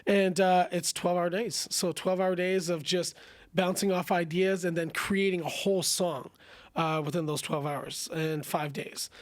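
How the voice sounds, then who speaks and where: A young man with a raspy voice, chatting casually and animatedly as a singer guest. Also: high-pitched, moderate pace, no room sound. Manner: casual, animated, says bro and man a lot. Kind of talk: casual chat.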